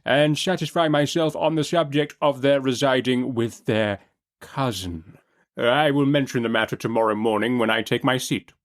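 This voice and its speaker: A man voicing a grand, deliberate elderly judge in an audiobook, with drawn-out, over-distinct and hesitant delivery. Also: mid-range voice, close to an everyday voice. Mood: formal, measured. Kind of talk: audiobook character acting.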